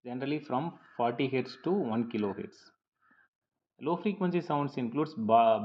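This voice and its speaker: A man reading a scripted lecture over slides in a measured, slightly halting, explanatory way. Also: mid-range voice, lo-fi sound.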